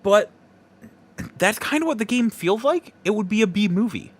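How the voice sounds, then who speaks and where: A man hosting a podcast, giving casual solo opinions in halting, broken phrases with emphatic stresses. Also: high voice, lively intonation. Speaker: podcast host.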